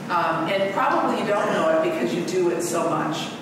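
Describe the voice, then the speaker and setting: A woman's voice: lowish voice, lively intonation, normal speed. A middle-aged woman, a bank executive as guest speaker, giving direct, earnest advice and answering audience questions. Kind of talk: fireside chat talk and Q&A answers. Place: event hall with audience. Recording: echoey hall from far off, background noise.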